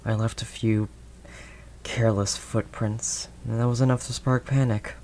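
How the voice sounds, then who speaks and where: A man playing a protective older male werewolf loner, gentle and conversational, in an intimate audio roleplay. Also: mid-range voice, little pitch movement, a little slow, a little hiss or hum, lightly acted. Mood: matter-of-fact. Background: rain ambience sound effects.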